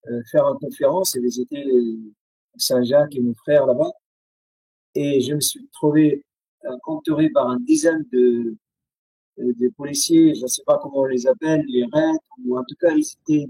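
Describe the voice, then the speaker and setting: A man from Syria with a Syrian accent, Arabic his first language, speaking haltingly with many pauses and restarts as an interview guest on a video call. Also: a little high, vocal fry, dark tone, breathy edge, no room sound, rough recording.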